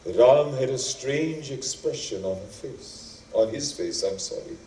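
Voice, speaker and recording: Slow, mellow male storyteller in echoing room. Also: mid-range voice, lively intonation, a little hiss or hum. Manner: dramatic, deliberate, weighty pauses. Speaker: stage narrator and actor. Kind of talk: theatrical epic storytelling. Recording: roomy, echoing, distant microphone.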